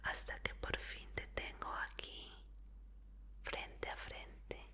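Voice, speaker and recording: A woman whispering as a creepy obsessive fan. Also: slow pace. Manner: soft pleading whispers, coaxing and apologetic. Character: obsessive female fan, sweetly creepy captor. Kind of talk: whispered ASMR roleplay.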